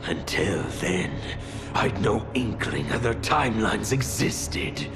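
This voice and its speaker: A very slow, gravelly male villain of a video game, a gleeful cleric of chaos who relishes each word theatrically. The pitch swings widely, over loud music. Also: expressive, full character voice. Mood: mock-grateful, gleeful. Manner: theatrical, drawn-out delivery. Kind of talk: video game cutscene acting.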